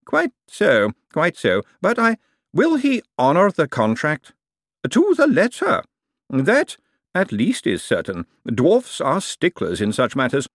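A sly, fussy old lawyer with a lifted, lilting voice that leaps about, as a male narrator puts him on. He stretches his words slowly and with a knowing wryness. The sound is close and dry. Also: a little high, huge pitch swings, no room sound, pitched above the performer's own voice, heavily acted. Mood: wry. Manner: sly, drawn-out, conspiratorial. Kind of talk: audiobook character voice.

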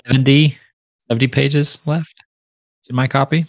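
A man's voice: medium pitch, steady tone, moderate pace, no room sound. A man co-hosting a casual podcast, summarizing chapters in a loose, informal way with frequent restarts. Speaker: podcast co-host.

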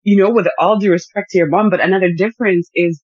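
A counselor from Uganda, reflecting in a casual conversation in a measured way. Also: mid-range voice, fairly even intonation, slightly rough, no echo, dull audio. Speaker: counselor and guest.

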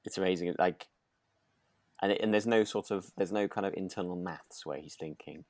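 A man with a low voice in a very dry recording. He chats casually as a podcast co-host, agreeable and a little hesitant as he rambles through his thoughts. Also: moderate pace, no echo. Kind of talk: relaxed casual discussion of a radio drama.

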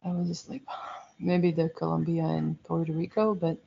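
Chatty rambling woman, lower voice. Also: level tone, moderate pace, gravelly, slight background noise. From outside the United States. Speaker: podcast co-host and church school teacher. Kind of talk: casual chat. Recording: dull lo-fi sound.

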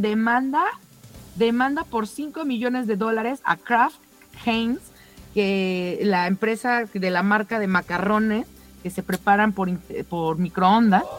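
A woman presenting the news in an informal, conversational way, somewhat halting and given to rhetorical questions. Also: lowish voice.

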